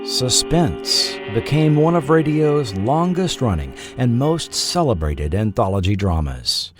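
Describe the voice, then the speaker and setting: A man narrates a scripted documentary with dramatic, expressive swings of pitch. He takes his time like a storyteller, and the sound is dry and close. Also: no echo. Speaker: podcast narrator.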